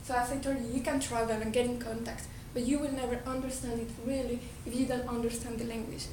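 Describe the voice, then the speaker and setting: A woman with a Colombian Spanish accent gives an earnest talk, often restarting her words. Her voice sits high. It is picked up from a distance in an echoey hall, with steady noise and a low rumble behind her. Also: fast talker. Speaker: speaker giving a talk. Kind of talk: presentation to an audience.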